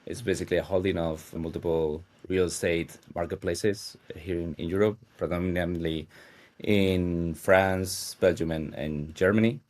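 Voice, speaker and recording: A man from Spain with a very low voice, introducing himself to a panel. He sounds relaxed and loose and restarts phrases along the way. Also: normal speed. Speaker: panel speaker. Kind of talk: self-introduction on a video call.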